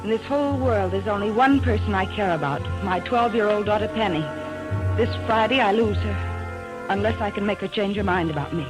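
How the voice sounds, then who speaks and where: A woman's voice: medium pitch, fairly quick, music in the background, close to an everyday voice, poor audio. A woman playing a bitter, wounded widowed mother in a 1940s radio drama. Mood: pleading. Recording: old radio drama recording.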